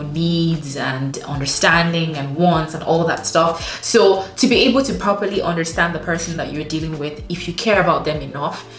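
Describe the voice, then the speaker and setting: A woman's voice: on the low side, a bit bright. A young woman in her twenties giving frank, emphatic advice straight to the camera from a room at home, calling her viewers sis. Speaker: lifestyle vlogger. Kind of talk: candid direct-to-camera advice. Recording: roomy echo with faint music.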